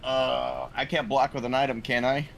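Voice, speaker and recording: A man's voice: mid-range voice, little pitch movement, normal speed, gravelly, faint music behind. A man taking part in a tabletop game as a player, speaking in casual table chat.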